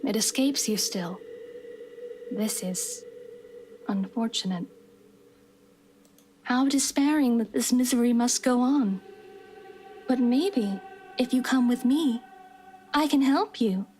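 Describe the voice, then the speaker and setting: A woman's voice: a little high, slow pace, long pauses, a little mellow, slight background noise, voice pushed up. A woman voicing an eerie, obsessively devoted female servant in a horror visual novel, with formal, old-fashioned politeness. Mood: sorrowful, coaxing. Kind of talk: horror visual novel character read-aloud.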